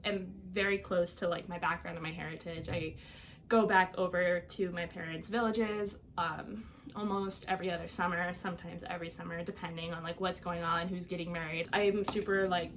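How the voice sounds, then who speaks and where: A woman's voice: on the low side, steady tone, moderate pace, a bit bright, slight background noise. A young woman whose first language is Albanian, a podcast guest telling her own story in a casual way, with lots of fillers and frequent laughs. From Iowa, United States. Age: young adult. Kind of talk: personal storytelling.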